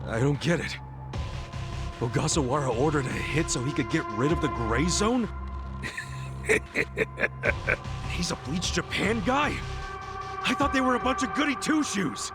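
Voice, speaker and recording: A man's voice: on the high side, lively intonation, unhurried, pauses often, music in the background. An actor playing a blunt, hot-headed but loyal tough guy in an English video game dub, speaking emphatically. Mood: incredulous.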